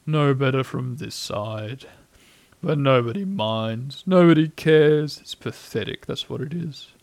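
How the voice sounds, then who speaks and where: A man voicing a gloomy, self-pitying old grey donkey from a children's storybook, drawling slowly and sarcastically. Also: mid-range voice, expressive, a little mellow, no room sound. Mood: dejected. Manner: gloomy, slow, drawn-out, sarcastic.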